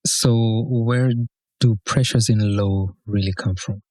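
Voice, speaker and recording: Low-voiced podcast host, curious. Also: male voice, fairly even intonation, slow, warm tone, dry recording. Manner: short encouraging backchannels, curious questions. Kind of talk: casual interview.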